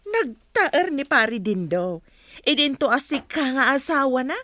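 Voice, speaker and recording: Very high, catty Filipino village woman actress. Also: lively intonation, a little slow, pushed and bright, no room sound, exaggerated voice. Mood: mocking. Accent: Filipino, Ilocano. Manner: drawling mockery. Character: catty, mocking village woman taunting a neighbour's looks. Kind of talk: radio drama acting.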